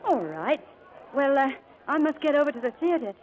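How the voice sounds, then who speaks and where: A woman's voice: high-pitched, big pitch swings, moderate pace, low-quality recording. An actress in a 1940s-style radio drama playing a kind, chatty young showgirl, coaxing and protective. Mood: bright, coaxing. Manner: bubbly, coaxing, gently reassuring. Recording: old radio broadcast recording.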